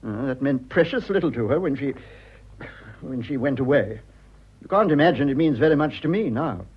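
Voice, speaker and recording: Fussy, husky upper-class English husband, very expressive. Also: male voice, mid-range voice, moderate pace. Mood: bitter, indignant. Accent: British upper-class. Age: 30s. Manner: pompous, exasperated, prim. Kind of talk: 1920s drawing-room comedy in radio drama. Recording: dull lo-fi old radio recording.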